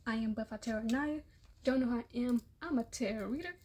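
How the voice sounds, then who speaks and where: A young adult woman, a tarot reader losing her voice, giving a casual, chatty reading that repeats itself and drops into soft murmurs. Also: a little high, fast talker, slightly rough. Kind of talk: casual tarot reading for all zodiac signs.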